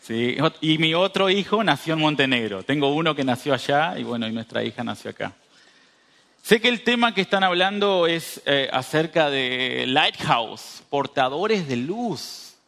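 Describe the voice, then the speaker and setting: Higher-voiced Uruguayan preacher with a northern border accent. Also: male voice, expressive, normal speed, creaky, fairly bright tone. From northern Uruguay, near the Brazilian border. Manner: informal, addresses listeners directly, repeats phrases for emphasis. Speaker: preacher at a youth church meeting. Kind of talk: conversational sermon.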